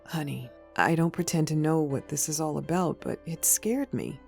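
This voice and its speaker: A woman acts a frightened, devoted wife in a comic book audio drama. Her voice is soft, mellow and creaky, with faint music underneath. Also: deep voice, normal speed. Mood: tender fear.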